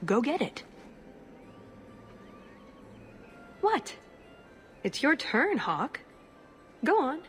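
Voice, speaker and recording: A woman's voice: high voice, sing-song, takes it slowly, soft background music, exaggerated voice. A woman playing a wry, determined young female elf in a video game, speaking in a dry, earnest way. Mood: wry teasing. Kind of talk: video game dialogue acting.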